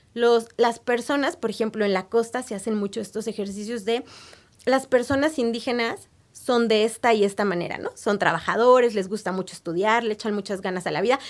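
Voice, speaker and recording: A woman researcher answering interview questions in a thoughtful, explanatory way, often checking in with tag questions. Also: fairly high pitch. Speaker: guest researcher.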